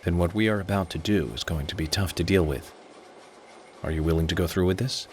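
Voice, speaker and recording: An actor with an American accent playing a composed, confident FBI agent in a video game, speaking in a measured, deliberate way. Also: male voice, deep voice, slight background noise, close to an everyday voice. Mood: grave, resolute. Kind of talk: dramatic game cutscene acting.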